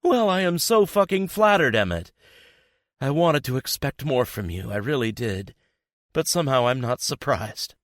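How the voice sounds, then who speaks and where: A man's voice: mid-range voice, sing-song, normal speed, hardly any pauses, dry recording, clean studio sound, voice pushed up. A man voicing a bitter, betrayed young woman in an audiobook, sarcastic and accusing, his voice raised well above his own. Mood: furious. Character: furious betrayed young woman, sharp and scornful. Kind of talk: audiobook character voice.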